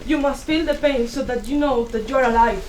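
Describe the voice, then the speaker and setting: A woman's voice: sits high, moderate pace, lightly acted. A young woman acting a grieving, tender motherly figure in a stage reading. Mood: tender, urging. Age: young adult. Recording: faint noise, live stage.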